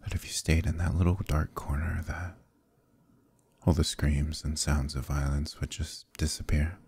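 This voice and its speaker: A man playing a smugly menacing evil knight in an ASMR roleplay, speaking in a slow, intimate, condescending monologue with softly threatening delivery. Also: little pitch movement, dry recording, full character voice. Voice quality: very deep, gravelly, husky.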